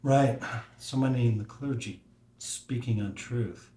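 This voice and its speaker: An actor playing a wistful, adventurous older father who speaks softly and reflectively in a table read. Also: medium pitch, a little slow, lightly acted. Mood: dry. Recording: roomy echo.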